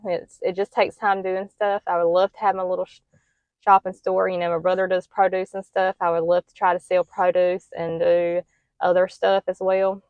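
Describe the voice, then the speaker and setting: A middle-aged woman who talks casually to the camera in a soft, plain and hesitant way, with many ums. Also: on the low side, smooth, no echo, lo-fi sound. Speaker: homestead vlogger.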